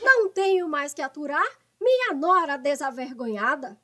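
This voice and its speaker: A bossy mother-in-law from a radio soap, fed up with everyone at home. Her voice is extremely high, and she complains and scolds like someone forever at war with her family. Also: expressive, normal speed, fairly bright tone, slight background noise. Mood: satisfied. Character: shrill, quarrelsome mother-in-law fed up with household.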